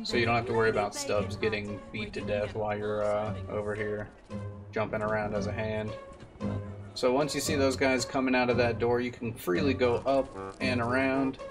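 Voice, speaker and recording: A man in his twenties giving casual commentary as he streams a video game. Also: mid-range voice. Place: indoor room at home. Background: game music.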